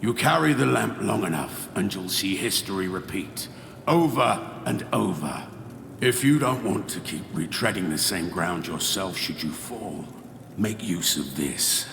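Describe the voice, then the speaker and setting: A grim, world-weary guide in a fantasy game, low and gravelly. He talks cryptically in a cavernous, long reverb with noisy ambience. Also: expressive, a little slow, full character voice. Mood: grim, cryptic. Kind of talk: dramatic fantasy game acting.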